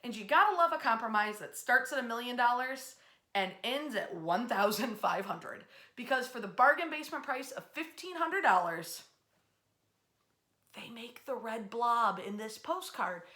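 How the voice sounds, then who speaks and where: A woman with a fairly high, very bright voice hosts a history storytelling series. She speaks in a chatty, emphatic way, stretching key words and pausing for dramatic effect as she addresses her online audience.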